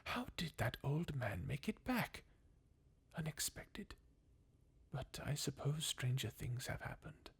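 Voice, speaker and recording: Fan actor as crestfallen undead game warrior: slow, swinging intonation, breathy, bleakly mocking. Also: mid-range voice, very animated, heavily acted. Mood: sardonic, resigned. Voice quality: breathy, husky half-whisper with creak. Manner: sardonic, weary, chuckling bleakly. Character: jaded, mocking undead warrior, sardonic and defeatist. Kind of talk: fan redub of video game dialogue, ASMR-like.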